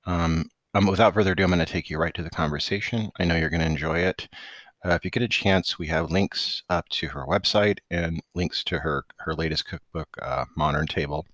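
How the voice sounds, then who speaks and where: A man's voice: deep voice, brisk, fairly bright tone, no echo. A man hosting a podcast, introducing and interviewing in a casual talk style. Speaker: podcast host.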